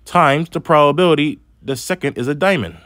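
A man's voice: a little high, brisk, fairly bright tone, dry recording, clean studio sound. A man with a slight lisp teaching a math lesson over a tablet screen, explaining patiently step by step. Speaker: math instructor.

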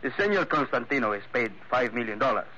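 An actor playing an oily foreign go-between in a 1940s radio thriller, with halting phrasing. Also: male voice, mid-range voice, expressive, bright, forceful tone. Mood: smug amusement. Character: oily, chuckling foreign henchman and negotiator. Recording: noisy, dull 1940s radio recording.

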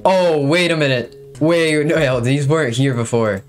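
A young man narrating his game episode and chatting in voice chat, excitable and quick to repeat himself in bursts. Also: on the high side, over music.

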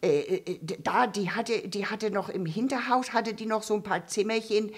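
A woman's voice: medium pitch, moderate pace, natural voice. A woman voicing a gossipy old female puppet who rambles, stammers and restarts her sentences. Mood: rambling. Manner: stammering, full of fillers and restarts. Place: dark theatre stage.

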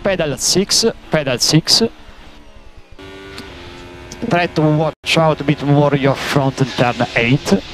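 A man working as a race engineer, giving terse, halting instructions over team radio and repeating key commands. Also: a little high, a bit bright. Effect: team radio transmission. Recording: noisy motor-racing team radio.

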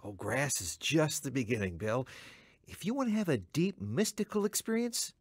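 Radio drama role: easygoing sly stoner guy, very animated intonation, mid-pitched, teasing. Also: normal speed, breathy edge, no echo, studio quality. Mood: sly, amused. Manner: casual, teasing, chuckling. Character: easygoing male coworker, sly stoner peddling mystic ideas.

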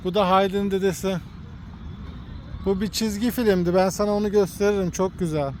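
A man's voice: sits high, steady tone, a little fast, a little hiss or hum. Father, casual phone-filmed vlog at an outdoor park; patient, coaxing tone aimed at a toddler. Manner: gently coaxing, points things out to a small child. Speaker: father filming his son. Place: outdoor park with cartoon statues.

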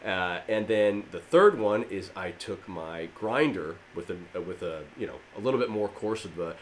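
A man in his thirties with a low, slightly raspy voice talks to camera about a DIY project. He rambles in a friendly way, full of fillers and restarts. He is recorded on the camera mic in his garage gym with a faint hum of ambience. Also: normal speed. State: allergies. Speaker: fitness DIY channel host. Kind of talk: casual how-to talk to camera. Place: home garage gym, indoors.

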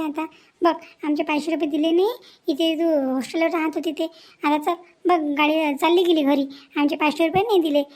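A woman acting a young village woman in a cartoon family drama. Her voice is high, and she talks in an earnest, chatty, practical way. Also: a little mellow.

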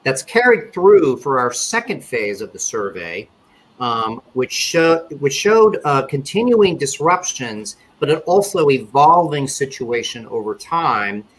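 A man's voice: mid-range voice, big pitch swings, fairly bright tone, slightly rough, low-quality recording. Middle-aged man presenting over video call. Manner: measured, with frequent fillers and restarts. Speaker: conference panelist presenting survey results. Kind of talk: webinar presentation. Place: indoor office or home room.

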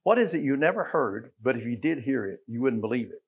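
A middle-aged man with an American accent preaching a sermon in a folksy, conversational way, leaning on emphatic rhetorical questions, with a husky voice. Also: mid-range voice, big pitch swings, brisk, phone-quality sound. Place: church sanctuary, at a pulpit.

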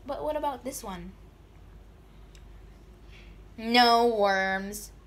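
Slow, playful woman vlogger. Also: fairly high pitch. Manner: playful, mock-scared squeals and jokes. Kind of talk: casual unboxing vlog. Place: indoors at a table. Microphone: phone camera held over a table.

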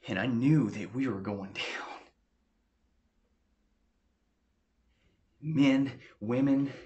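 An actor playing an anxious, deferential young war veteran with an American accent, speaking haltingly in a therapy interview drama. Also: male voice, medium pitch, a little mellow, muffled recording, lightly acted. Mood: anxious, distressed. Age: young adult. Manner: halting, subdued. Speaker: actor as patient. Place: small furnished room, indoors.

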